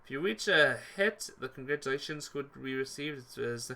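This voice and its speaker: A man's voice: mid-range voice, lively intonation, moderate pace, vocal fry, pushed and bright. A man hosting a game playthrough, reading visual novel narration aloud dramatically and stumbling over some words. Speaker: gaming playthrough host. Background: game music.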